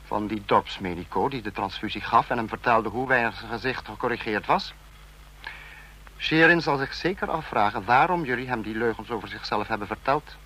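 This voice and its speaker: An actor playing a cold, authoritarian state leader in an old radio play, speaking in a clipped, lecturing, imperious manner. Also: male voice, lowish voice, brisk, bright, forceful tone, slight background noise, poor audio. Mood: coldly reasoning. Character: cold authoritarian male supreme leader of a fictional police state.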